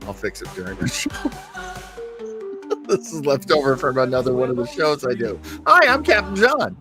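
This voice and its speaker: A man hosting a casual livestream about old time radio, chatting in a rambling, jokey, self-deprecating way on a close microphone. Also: a little high. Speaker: host of an old time radio show program.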